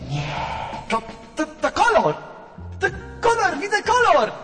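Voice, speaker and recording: Radio-play talking ear, frightened, stammering, wildly swinging pitch, music behind. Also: male voice, very animated, slow. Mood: alarmed. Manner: jumpy, shrill outbursts, stammering.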